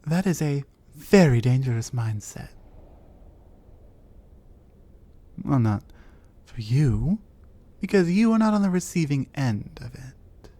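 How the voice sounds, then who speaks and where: A woman voicing a low, slow-talking cave troll woman. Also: lively intonation, dark tone, heavily acted. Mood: scornful. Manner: slow, taunting, drops to whispers. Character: territorial, dominant female troll living alone in a cave. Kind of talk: ASMR roleplay audio.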